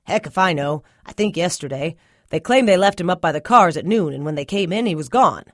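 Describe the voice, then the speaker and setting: Woman doing a gruff, defensive country dad. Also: on the low side, lively intonation, brisk, dry recording, clean studio sound. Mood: defensive, dismissive. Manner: blunt, folksy, dismissive. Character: gruff, defensive hard-drinking rural father. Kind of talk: audiobook character dialogue.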